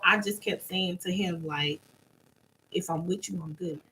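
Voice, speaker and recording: Woman cohost, bright voice, talks emphatic, acts out quotes, bit of background hiss. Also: lowish voice, a little slow, low-quality recording. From Bahamian and American parents. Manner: emphatic storytelling with repeated phrases, acts out quotes. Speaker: co-host. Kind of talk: casual couple chat.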